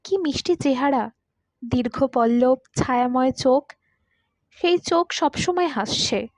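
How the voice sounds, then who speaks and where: A woman's voice: sits high, a little slow, darkish tone, dry recording. A woman voicing a courteous, talkative man of about forty who confides his story wistfully in an audiobook. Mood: wistful, confiding. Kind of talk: audiobook character dialogue.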